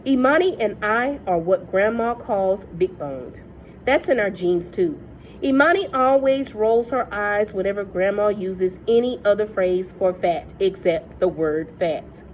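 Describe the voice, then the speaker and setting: A woman reading a novel aloud in a steady, measured first-person voice. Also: mid-range voice, a little hiss or hum, poor audio. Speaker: reader.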